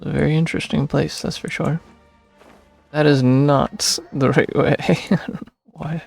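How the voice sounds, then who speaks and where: Gravelly, husky young-sounding man gaming. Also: fairly high pitch, little pitch movement, a little slow, soft background music. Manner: rambling, thinking aloud, self-deprecating. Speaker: streamer playing a video game. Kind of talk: casual gameplay commentary.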